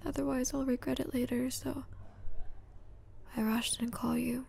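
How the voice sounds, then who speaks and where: A woman playing an anxious, grieving young adult in an ASMR roleplay, speaking in a hushed, breathy, halting way. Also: sits high, little pitch movement, full character voice. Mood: regretful, sad. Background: hospital ambience.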